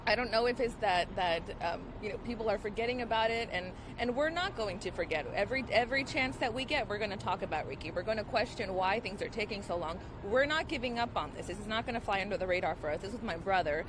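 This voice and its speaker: A grieving woman, sister of the victim, gives a halting, emotional statement at a press conference. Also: mid-range voice, lively intonation, background noise. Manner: halting, emotional, with many restarts.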